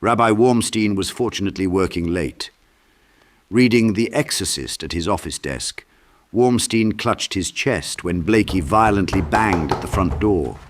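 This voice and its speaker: A man's voice: low voice, a little slow, dry recording. A man reading dramatic scripted horror narration as an audiobook narrator.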